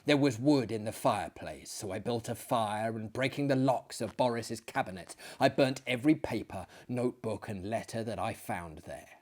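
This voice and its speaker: A man voicing a weary, haunted friend in a dramatic storybook reading, speaking gravely and deliberately. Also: lowish voice, a little mellow, lightly acted. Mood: grim, resolute. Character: weary, haunted male friend recounting deaths.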